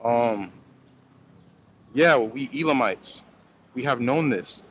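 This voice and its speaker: A man's voice: mid-range voice, expressive, takes it slowly. A man hosting a casual livestream, rambling in a loose, slangy way as he answers viewer comments, full of fillers and restarts. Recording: dull lo-fi stream audio with faint noise.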